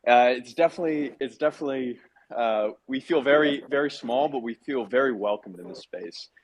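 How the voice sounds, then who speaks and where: A man's voice: mid-range voice, a little fast, a little hiss or hum, rough recording. A man speaking as a guest in a casual group chat, humble and a little hesitant.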